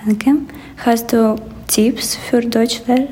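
A woman's voice: on the high side, flat delivery, takes it slowly, warm tone, a little hiss or hum. A woman from Ukraine, politely asking questions and answering them on a podcast. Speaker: interviewer. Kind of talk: podcast interview.

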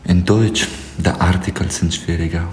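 A man's voice: low voice, steady tone, moderate pace, a little mellow, roomy sound, a little hiss or hum. A man answering interview questions in a plain, matter-of-fact way. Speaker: interviewee, language learner.

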